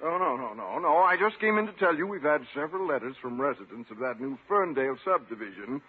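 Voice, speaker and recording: An actor playing a stern, impatient small-town mayor with a brusque, authoritative manner in a 1950s radio comedy. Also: male voice, on the high side, sing-song, fast talker, husky. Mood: approving. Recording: muffled, lo-fi 1950s radio recording.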